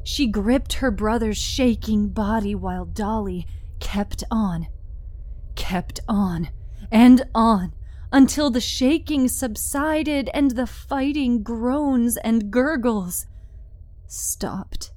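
A woman narrating dramatic horror fiction with deliberate, suspenseful phrasing. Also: mid-range voice, dark tone, dry recording.

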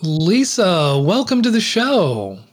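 A man co-hosting a podcast, reading a scripted introduction and then asking a halting, measured question. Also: sits high, big pitch swings. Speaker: podcast co-host.

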